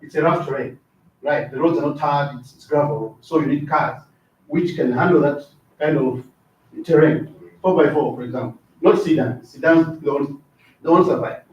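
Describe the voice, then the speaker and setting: A middle-aged man teaching a training class. His voice sits a bit high for a man, and he keeps asking the class questions and tagging "right?" onto his points. He sounds far from the mic in a very echoey room, and the recording is dull. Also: moderate pace, darkish tone, a little hiss or hum. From Zambia. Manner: asks the class questions, repeats words, drops in "right?" often. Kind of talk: classroom lecture.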